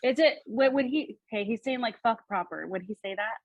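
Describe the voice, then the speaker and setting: Halting woman medium with a higher voice. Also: slight background noise. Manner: halting, repetitive, animated relaying. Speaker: psychic medium relaying messages. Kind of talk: spoken reading, relaying messages in quotes.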